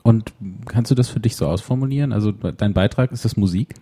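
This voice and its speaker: A man's voice: fairly low pitch, a little fast, mellow, breathy edge. A man working as an interviewer, asking conversational questions and searching a little for his phrasing.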